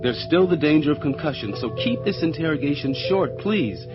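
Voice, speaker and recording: Male doctor played by a male actor, highish, polite yet insistent, muffled radio drama. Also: expressive, brisk, close to an everyday voice. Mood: brisk. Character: concerned, brisk male hospital doctor. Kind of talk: science fiction radio drama acting. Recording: muffled, loud music behind.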